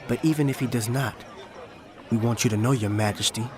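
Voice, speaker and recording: A male actor plays a steadfast, devout Hebrew in an audio Bible drama. His medium-pitched voice is firm and measured, respectful yet defiant, over clear crowd noise. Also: lightly acted. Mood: resolute, defiant. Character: steadfast, devout Hebrew man defying a king.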